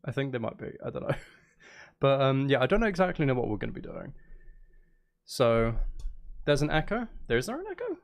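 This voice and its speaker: A man's voice: mid-range voice, wildly swooping pitch, fairly quick, gravelly, long pauses. A man chatting casually to viewers on a livestream, rambling, repeating himself and breaking off mid-sentence in a jokey, self-deprecating way. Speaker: live streamer.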